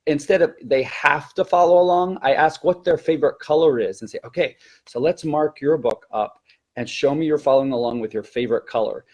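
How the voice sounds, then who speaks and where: A man's voice: on the high side, darkish tone. A man who teaches elementary Spanish, giving an explanatory teacher-training talk in a hesitant, self-correcting way.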